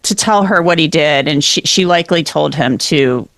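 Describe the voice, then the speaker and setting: A woman with a lowish voice conducts an interview in a conversational way. She thinks out loud and rephrases her questions. The recording is dry, with little room sound. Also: moderate pace, no echo.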